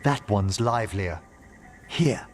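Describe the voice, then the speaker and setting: Scholarly male necromancer, formal, eloquent, lively pitch, faint music behind, fantasy game. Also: slow pace. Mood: solemn, eloquent. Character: courtly, scholarly gentleman necromancer, genial and solemn.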